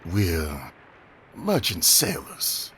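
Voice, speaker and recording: Man acting a deep-voiced, swaggering sailor. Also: huge pitch swings, drawn-out words, fairly bright tone, a little hiss or hum, heavily acted. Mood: amused, gruff. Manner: teasing, intimidating. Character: broad, swaggering, mocking male pirate sailor. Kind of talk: audio drama acting.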